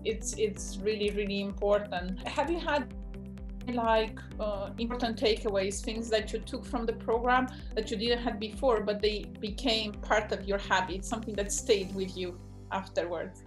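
A woman's voice: mid-range voice, steady tone, normal speed, music in the background, rough recording. A woman in her forties hosting a video call interview, asking questions in an enthusiastic, emphatic way. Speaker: program host, interviewer. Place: indoor home office with bookshelves.

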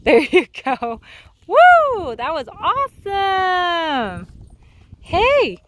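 A woman coaching a horse rider in an outdoor arena, upbeat and teasing, quick to praise. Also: very high voice, huge pitch swings, slight background noise. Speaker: riding instructor.

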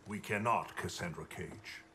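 An ancient, grave male deity, speaks with solemn formality in a fantasy game. The voice is fairly low and forceful, with portentous delivery over faint background noise. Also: measured pace. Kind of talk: dramatic fantasy game acting.

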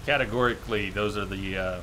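A man's voice: on the low side, steady tone, a little fast. A man in his thirties hosting a video game livestream, musing casually as he chats with viewers. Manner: musing, chatting with viewers between game remarks. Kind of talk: casual gaming stream chat. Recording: clear background noise from game ambience.

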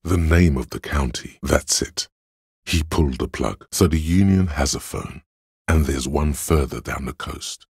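A cool, analytical inner voice of logic from a video game. It is profoundly low and heavily husky, with crackling fry at the edges. The delivery is measured and matter-of-fact, reasoning things through deliberately. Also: male voice, darkish tone, dry recording, clean studio sound, heavily acted. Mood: analytical, composed. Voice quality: gravelly, husky bass. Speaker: voice actor. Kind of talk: scripted game narration as an inner voice.

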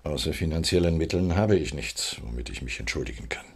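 An actor playing a guilt-ridden, weary wealthy businessman in a radio crime drama, speaking in a measured, formal, guarded way. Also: rumbling low, lively intonation, darkish tone, husky, heavily acted. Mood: resigned.

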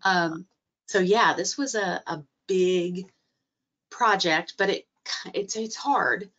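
Low-voiced woman with a cold on a video call. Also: takes it slowly, a bit bright. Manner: hesitant, many fillers and restarts. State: recovering from a cold, a little stuffy. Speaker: guest speaker, library trainer. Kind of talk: webinar talk. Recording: muffled video-call audio.

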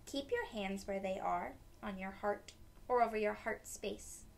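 A young woman reading a picture book aloud to children, gently explaining it in simple words. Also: medium pitch, a little slow, vocal fry. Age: young adult. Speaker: read-aloud storyteller for children. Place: small room at home, indoors.

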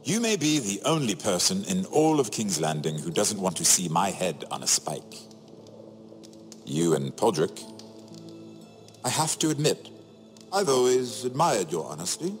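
An actor playing a wry, shrewd middle-aged imprisoned nobleman in a video game, sardonic and theatrical, phrasing each remark deliberately. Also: medium pitch, very animated, gravelly. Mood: wry, probing. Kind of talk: dramatic video game dialogue. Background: music.

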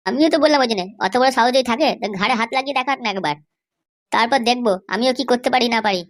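Woman playing a cocky, rapid-fire cartoon schemer. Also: fairly high pitch, natural voice. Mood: defiant. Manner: boastful, threatening. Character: cocky, defiant schemer and ally in a comedy cartoon. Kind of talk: exaggerated cartoon dialogue.

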